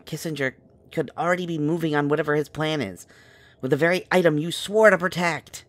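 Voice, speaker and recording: A man's voice: on the high side, a little fast, no echo, close to an everyday voice. A man playing an exasperated, protective member of a magical quest, scolding his companion in an urban fantasy audio drama. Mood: frustrated, anxious.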